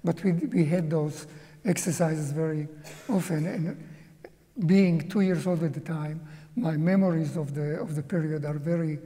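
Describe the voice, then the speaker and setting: A man in his seventies, a native Hungarian speaker from Czechoslovakia, giving a slow, halting, reflective personal testimony as an interview guest in a museum auditorium. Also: fairly high pitch, steady tone, dark tone, in a large hall. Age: 70s.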